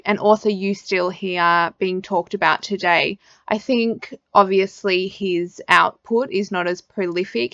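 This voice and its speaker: A woman's voice: mid-range voice, fairly bright tone, no room sound. Australian woman podcast host, slightly muffled. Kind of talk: casual interview.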